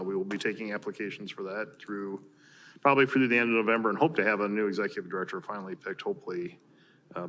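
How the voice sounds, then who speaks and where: An American man giving an informal meeting report in a halting way, with frequent fillers. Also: lowish voice, pushed and bright. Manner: halting, filler-heavy delivery. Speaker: mayor giving board updates at a council meeting. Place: large council chamber, indoors. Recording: clear background room noise from meeting chamber.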